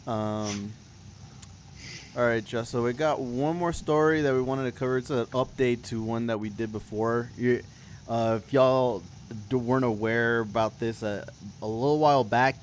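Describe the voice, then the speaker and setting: A man hosting a political talk show, discussing news casually in halting, hesitant phrasing. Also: medium pitch, steady tone, a little hiss or hum.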